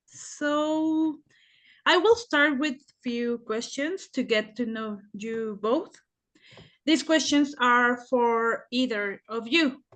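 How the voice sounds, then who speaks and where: A young adult woman running an oral English exam, asking measured questions and guiding the candidates. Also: sits high, fairly bright tone.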